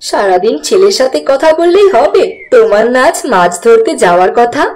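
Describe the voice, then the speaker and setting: A woman playing a caring village wife in a fairy-tale cartoon, with a teasing, gently nagging delivery. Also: a little high, big pitch swings, normal speed.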